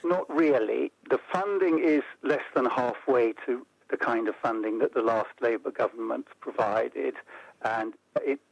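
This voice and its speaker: A man as an interview guest, explaining policy in a measured way and building points into lists. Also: mid-range voice, vocal fry, no echo, poor audio. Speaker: interview guest, report author. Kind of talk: radio interview.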